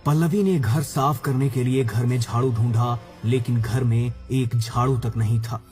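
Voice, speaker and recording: A man's voice: mid-range voice, fairly even intonation, moderate pace, a little mellow. Male storyteller for scripted horror narration: middle register, music bed behind the voice. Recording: background music.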